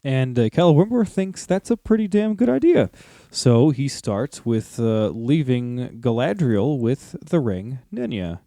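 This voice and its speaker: A man's voice: medium pitch, very animated, unhurried, hardly any pauses, warm tone, dry recording, clean studio sound. A man co-hosting a podcast, casually discussing fantasy lore with geeky, slangy, sometimes sweary phrasing. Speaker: podcast co-host.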